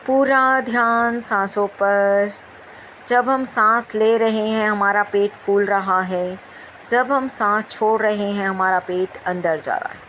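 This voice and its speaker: A woman guides a meditation at a very unhurried pace. Her pitch sits in the middle, and she repeats gentle instructions with long pauses. Faint background noise runs under the voice. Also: fairly even intonation, rough recording.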